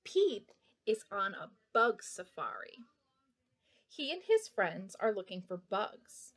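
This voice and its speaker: A woman's voice: mid-range voice, sing-song, takes it slowly, fairly bright tone. A woman reading a picture book aloud for young children, addressing her young listeners directly. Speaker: children's storybook reader.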